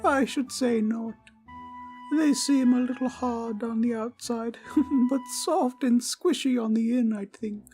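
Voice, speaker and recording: A performer voicing a small, friendly teddy bear, naive and earnest, speaking gently and politely in an ASMR comfort roleplay. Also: medium pitch, takes it slowly, soft background music, close to an everyday voice. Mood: earnest, innocent. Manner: gentle, innocent, polite, chatty.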